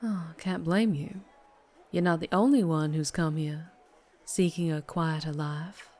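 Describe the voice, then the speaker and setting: A woman's voice: deep voice, fairly even intonation, unhurried, a little mellow. A woman playing a flirtatious, doting but fierce vampire biker in an ASMR roleplay, with a Southern US accent and a teasing manner. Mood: flirty, welcoming. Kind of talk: ASMR roleplay, intimate character monologue.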